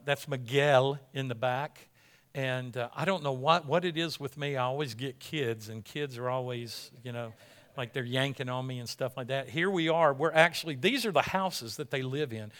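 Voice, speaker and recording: A male pastor delivers an informal sermon in a fairly high, strongly bright voice. He talks conversationally yet with emphatic urging, often restating a phrase as he goes. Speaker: pastor preaching to a congregation. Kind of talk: informal sermon with missions slideshow.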